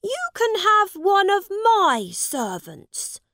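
A woman voicing a lordly, eager young English prince in a storybook, speaking emphatically with drawn-out words. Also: extremely high pitch, huge pitch swings, sharp tone, dry recording, studio quality, voice pushed up, exaggerated voice. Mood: lordly, generous. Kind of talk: storybook character voice.

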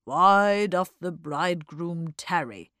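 A woman puts on a monocled society gentleman for a storybook. He is jocular and pompous, declaiming in a slow drawl. The sound is close and dry. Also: fairly low pitch, lively intonation, dry recording. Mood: mock solemn.

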